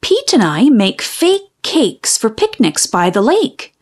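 A woman reading a children's phonics storybook aloud in a careful, deliberate way, stretching out key sounds. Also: fairly high pitch, wildly swooping pitch.

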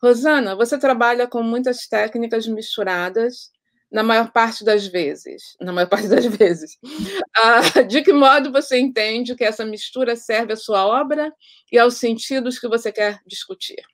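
A woman moderating a panel over a video call, reading out audience questions in a measured way with some restarts. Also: fairly high pitch, no echo. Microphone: video call webcam.

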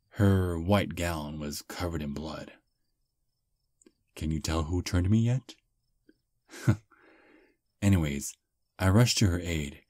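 A man playing a deep husky vampire suitor. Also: no room sound. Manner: soft, relaxed, self-deprecating. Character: charming young-looking male vampire on a first date. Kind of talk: ASMR roleplay. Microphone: close mic.

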